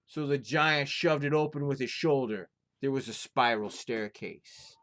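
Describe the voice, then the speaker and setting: A man's voice: medium pitch, unhurried, fairly bright tone. Male storyteller sharing folktales. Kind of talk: folktale storytelling with informal introduction.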